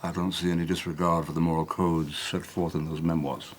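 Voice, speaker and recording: An actor playing an impassioned defender of a banned book, arguing with pointed questions in a period drama. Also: male voice, deep voice, level tone, fairly quick, slight background noise, lightly acted.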